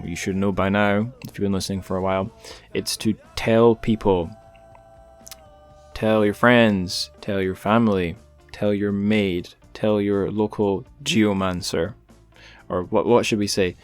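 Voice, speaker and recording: A man hosting a podcast, talking in a relaxed, rambling way with light jokes. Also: fairly low pitch. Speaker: podcast host. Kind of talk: casual podcast chat and plugs.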